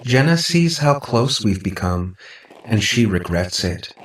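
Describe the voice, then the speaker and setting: A man's voice: mid-range voice, sing-song, a little slow, roomy sound, distant mic, pitched above the performer's own voice, low-quality recording. Man voicing a sweetly possessive robot girl. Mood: quietly manipulative. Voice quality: synthetic, affectionate tone. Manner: sweetly insistent, precise.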